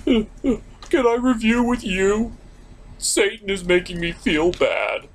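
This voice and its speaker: Man doing a whiny, high-pitched puppet superhero. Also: slow pace, slight background noise. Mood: whiny, sheepish. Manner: whiny, overdramatic. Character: whiny, melodramatic puppet Superman, a sulky crybaby hero. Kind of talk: comedic puppet show banter.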